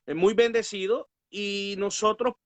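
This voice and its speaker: A man's voice: sits high, steady tone, unhurried, no room sound. A man speaks as a pastor and Bible teacher. His manner is earnest and devotional, sprinkled with amens. The sound is dry, with little room in it. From Guatemala. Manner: earnest, pious, peppered with amens. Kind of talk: devotional religious talk.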